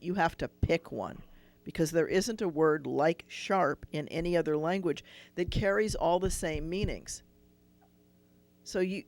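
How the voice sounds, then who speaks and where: A man hosting a radio call-in show as a rabbi, explaining at length and thinking aloud with restarts. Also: sits high.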